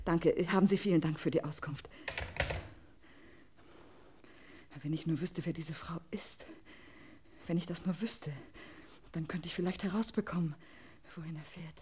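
An actress playing an anxious, pleading wife in an old German radio crime play, agitated and urgent, often breaking off mid-sentence. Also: female voice, lowish voice, fairly even intonation, moderate pace, darkish tone, a little hiss or hum, natural voice. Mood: subdued brooding. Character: anxious, pleading wife desperate to save her husband.

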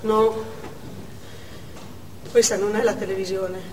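A woman's voice: mid-range voice, flat delivery, takes it slowly, a little mellow, natural voice. An actress on stage playing a frightened, indignant mother held hostage in a theatre, delivering a dramatic monologue. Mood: weary, stunned. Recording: echoey noisy theatre hall from far off.

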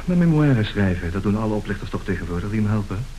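A man's voice: on the low side, expressive, fast talker, dark tone, lightly acted, low-quality recording. A man playing a suave, self-assured swindler in an old radio play, speaking formally and theatrically. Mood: smooth, wry. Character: suave, unrepentant male swindler who woos women by letter. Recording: hissy old radio recording.

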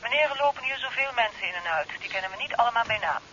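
An actor playing an offhand, excitable hospital porter over a telephone in a seventies radio drama. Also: male voice, very high-pitched, very fast, full character voice. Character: busy, unhelpful male hospital porter. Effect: telephone line. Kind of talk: 1970s Dutch radio drama acting. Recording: sharp, hissy telephone sound, old radio.

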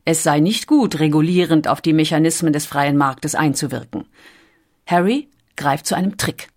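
A woman's voice: low-pitched, moderate pace. A woman reading a scripted documentary audiobook as its narrator. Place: recording studio.

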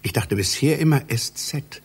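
Radio play: obsessive male manuscript scholar, rough husky tone, very animated intonation, pedantic and insistent. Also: medium pitch, normal speed. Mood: insistent, intrigued. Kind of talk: German radio play acting.

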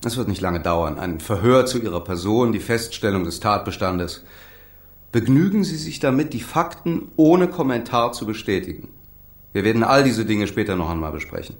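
A man acting a coolly businesslike court-appointed lawyer in a radio crime drama, speaking formally, precisely and in measured phrases. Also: fairly low pitch, expressive, natural voice. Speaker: actor playing a defence lawyer. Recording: roomy, echoing interior sound.

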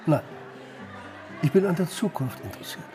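An actor plays a witty, urbane male professor in a radio crime drama. He talks with dry irony, and soft music sits faintly behind him. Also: on the high side, expressive, normal speed, a faint crowd, lightly acted. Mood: dry, amused.

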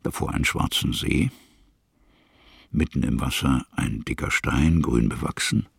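A reflective storyteller in a radio play. His voice is very husky and crackles with heavy fry, recorded close and dry. He sets out each image in short, measured fragments. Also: rumbling low, dry recording, full character voice. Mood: musing. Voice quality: gravelly, husky. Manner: measured, musing, fragmentary phrasing. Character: slow, musing male storyteller. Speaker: narrator. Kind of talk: literary radio drama narration in present tense.

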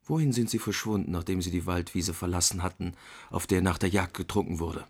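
A man acting in a German radio drama as a shrewd, composed editor who narrates and accuses in a measured, probing way. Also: low-pitched, darkish tone, husky. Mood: accusing.

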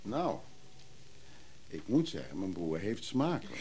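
A man's voice: sits low, wildly swooping pitch, takes it slowly, a little mellow, slight background noise, exaggerated voice. An actor playing a chatty, wry middle-aged man who teases his brother in a naturalistic radio play. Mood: wry, teasing. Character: teasing, chatty middle-aged brother named Freek, recovering drinker.

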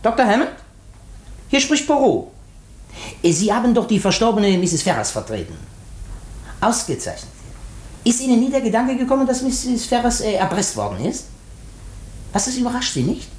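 An actor in a 1950s German radio play playing a shrewd, courteous foreign detective, deliberate and theatrical, dropping in French phrases. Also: male voice, very high voice, wildly swooping pitch, full character voice. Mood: polite, animated.

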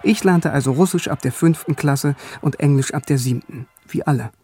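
Radio drama lead, played by a man: curious, bookish narrator remembering his teens, wry and measured, lightish male voice. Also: on the high side, a little mellow, soft background music, lightly acted. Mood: wry, wistful. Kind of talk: radio drama first-person narration and scenes.

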